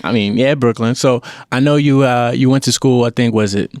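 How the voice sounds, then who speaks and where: A man's voice: medium pitch, fairly quick, darkish tone, no room sound, clean studio sound. A man hosting a podcast, chatting in a loose, laid-back way with streetwise slang. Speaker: podcast host. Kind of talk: casual interview chat. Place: indoor room.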